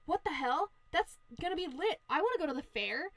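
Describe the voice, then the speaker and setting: A woman's voice: extremely high pitch, expressive, brisk, gravelly, a bit bright, no echo, exaggerated voice. A woman voicing a sly, cocky little male pig from a fairy tale, with flippant, taunting slang. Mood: eager, breezy.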